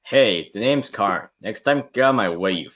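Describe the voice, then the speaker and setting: A man's voice: on the low side, lively intonation, moderate pace, lo-fi sound, natural voice. A man playing a cocky, bullying teenage jock in an amateur table read. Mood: menacing.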